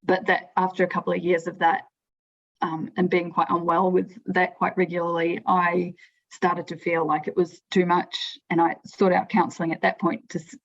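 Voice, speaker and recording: A woman's voice: lowish voice, flat delivery, normal speed, gravelly. A woman from New Zealand with a New Zealand accent, telling her story as a podcast guest in a frank, chatty way. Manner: chatty, frank, frequent restarts and "like". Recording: lo-fi remote audio.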